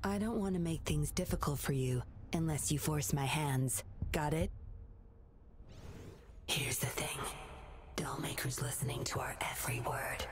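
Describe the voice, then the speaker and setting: A woman's voice: deep voice, measured pace, a little hiss or hum. An actress playing a cunning young woman double agent, teasing and coolly commanding. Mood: whispered.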